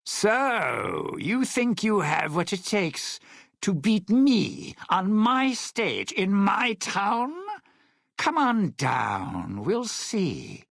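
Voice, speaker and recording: An actor voicing a suave, bitter, century-old lounge singer in a video game, a sardonic and scheming showman with a raspy voice. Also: medium pitch, big pitch swings, slow, a bit bright, no room sound, clean studio sound, full character voice. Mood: taunting, menacing. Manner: theatrical, sardonic showman talking in stage metaphors.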